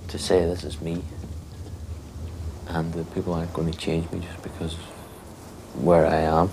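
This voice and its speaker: Young man, very low voice, measured interviewee; light background hiss. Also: monotone, gravelly, a little mellow. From Northern Ireland. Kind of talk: documentary interview. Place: small bedroom.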